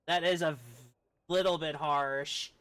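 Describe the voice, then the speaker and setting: Casting: man, game streamer, higher-pitched and clear-toned, casual off-the-cuff gameplay commentary. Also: fairly even intonation, measured pace, fairly bright tone. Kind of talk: casual gameplay commentary, reading dialogue along.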